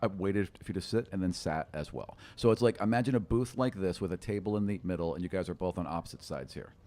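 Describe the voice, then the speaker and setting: A man's voice: low voice, fast talker, mellow. A middle-aged man casually narrating a tabletop game as game master, descriptive, on a close studio mic. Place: small indoor studio room. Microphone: close studio podcast mic with windscreen.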